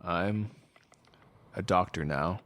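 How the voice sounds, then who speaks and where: A man acting a brooding, guarded doctor in an audio drama, with a dry, terse, deadpan delivery. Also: low voice, slow. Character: brooding male doctor, guarded, dryly cutting.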